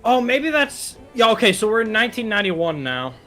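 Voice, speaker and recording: A man's voice: sits high, very animated, brisk, bright, forceful tone, soft background music. A man chatting casually in a group reaction commentary, swearing freely as he talks. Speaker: reaction show co-host. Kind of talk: casual group commentary while watching videos.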